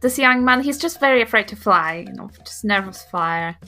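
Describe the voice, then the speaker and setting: A woman voicing a fussy, anxious granny adventurer in a tabletop game, hesitant, polite and gently scolding. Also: mid-range voice, expressive, normal speed, faint music behind. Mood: apologetic.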